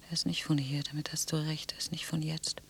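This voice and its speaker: An actress playing an intense, possessively affectionate sister in a radio drama, effusive and repetitive, moving between husky whispers and cries. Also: female voice, deep voice, little pitch movement, brisk, a little hiss or hum. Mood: hushed, brooding. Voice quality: breathy, husky, often whispering.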